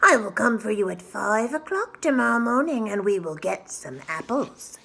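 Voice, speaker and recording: A woman's voice: mid-range voice, sing-song, moderate pace, creaky, sharp tone, breathy edge, exaggerated voice. A woman voicing a hungry, scheming male wolf villain in a children's storybook, coaxing and theatrical. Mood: sly. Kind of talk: children's storybook read-aloud.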